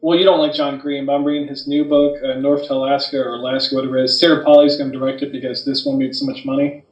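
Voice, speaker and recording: A man's voice: medium pitch, level tone, fast talker, echoey room, muffled recording. A man co-hosting a podcast in casual chat, blunt and opinionated, with some swearing. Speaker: podcast co-host. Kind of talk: casual chat about films and books.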